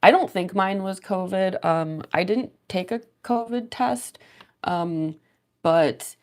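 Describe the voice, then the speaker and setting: A young woman livestreaming as she does her cosplay makeup, rambling casually with lots of fillers and soft laughs, her voice a little stuffy and sniffly as she gets over a cold. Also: medium pitch, slow pace. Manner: rambling, many fillers, laughs often, reads chat aloud. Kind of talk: casual livestream chat while doing makeup.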